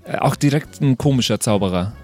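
Lively-pitched man hosting a chat over music. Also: a little fast. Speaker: podcast host leading the conversation. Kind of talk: casual chat about creating game characters. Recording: music playing behind. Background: background music.

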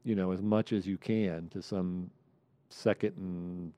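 A man on a sports roundtable giving casual, analytical commentary in a measured way. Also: low-pitched, fairly even intonation, warm tone, clean tone, no room sound. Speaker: sports panelist.

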